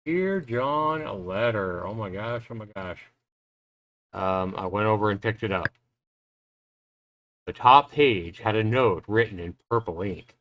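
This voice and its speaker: A man hosting a livestream, reading a visual novel's first-person story aloud in an expressive, suspenseful way. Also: lowish voice, a little slow, pauses often, no room sound, low-quality recording. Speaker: livestream host. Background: game music.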